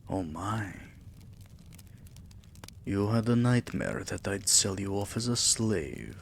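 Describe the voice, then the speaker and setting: A male actor voices a vampire king in an intimate ASMR roleplay, his voice very deep and thick with creak. He speaks very slowly in soft murmurs that slip toward whispers, possessive and tender with a menacing edge. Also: expressive, a little hiss or hum, heavily acted. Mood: soothing, possessive. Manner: soft, slow, breathy murmurs dipping into whispers.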